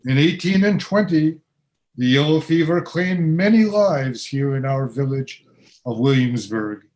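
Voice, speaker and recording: A man's voice: fairly high pitch, animated, unhurried, a little mellow. A man as a formal old-time ghost. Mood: earnest. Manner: formal, old-fashioned phrasing. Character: earnest male ghost from the 1820s. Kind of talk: community radio play acting.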